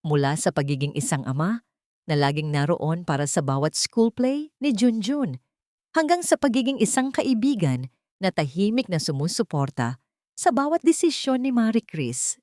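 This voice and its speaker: A woman's voice: fairly low pitch, expressive, moderate pace, darkish tone, no room sound, clean studio sound. A woman narrating a scripted dramatic story in a solemn, sentimental manner.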